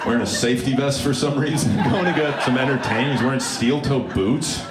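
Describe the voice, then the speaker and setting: A deep-voiced young man from Alberta doing live stand-up, wry and self-mocking with deadpan asides. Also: a little fast, heavy background noise. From Alberta, Canada. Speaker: stand-up comedian. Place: comedy club stage before a live audience. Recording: roomy live club with loud crowd laughter.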